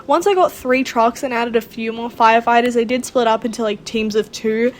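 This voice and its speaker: High-voiced woman, sarcastic gamer commentary. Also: steady tone, fairly quick, slight background noise. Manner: sarcastic, exasperated complaining. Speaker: gaming video host. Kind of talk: casual gameplay commentary.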